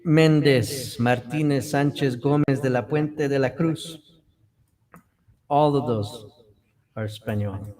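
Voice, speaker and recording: A man's voice: mid-range voice, slow, pauses often, a little mellow. Guy chatting on a livestream, takes his time, laughs a lot, mixes in spanish and bisaya words. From United States. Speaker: livestream co-host. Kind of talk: casual livestream chat.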